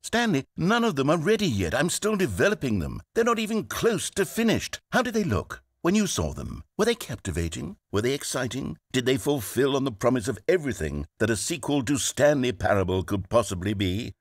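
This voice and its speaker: A smug British game narrator. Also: male voice, big pitch swings, fairly quick, few pauses, dry recording. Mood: flustered. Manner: theatrical, swinging wildly in pitch. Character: smug, scheming male narrator, middle-aged. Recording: dry studio voice-over.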